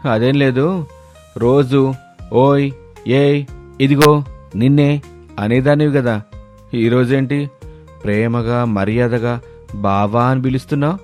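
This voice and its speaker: A man's voice: mid-range voice, steady tone, slow, long pauses, darkish tone, soft background music, close to an everyday voice. A man playing a teasing, suspicious young village husband in a comic story. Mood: puzzled, suspicious.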